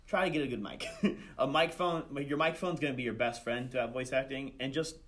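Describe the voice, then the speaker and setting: A young man answers interview questions in a casual, conversational way. His delivery is halting, with phrases begun again and corrected as he goes. He speaks as a student director and voice actor being interviewed. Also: medium pitch, steady tone, fairly bright tone. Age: young adult.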